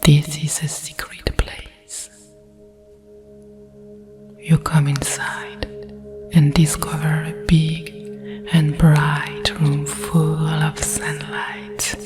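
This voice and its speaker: A woman with a French accent guiding a meditation in a breathy ASMR whisper, soothing and deliberate. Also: low voice, little pitch movement, a little mellow, faint music behind.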